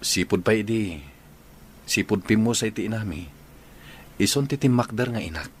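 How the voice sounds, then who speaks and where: A man's voice: fairly low pitch, normal speed, a little hiss or hum, lightly acted. An actor with a Filipino Ilocano accent playing an earnest young engaged man, wary of his sister, in a radio drama. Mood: resentful, subdued.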